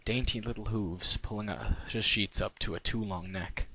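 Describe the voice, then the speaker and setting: A man's voice: on the low side, sharp tone, no room sound, rough recording. A man reading his own first-person fan fiction in a wry, conversational way. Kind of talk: first-person story narration.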